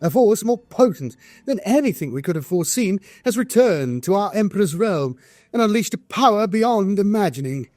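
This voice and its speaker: A man's voice: high voice, animated, normal speed, darkish tone, dry recording. A man acting an alarmed military messenger delivering a dire dispatch in an audio drama, urgent, portentous and theatrical. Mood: alarmed, foreboding. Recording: music behind a studio voice.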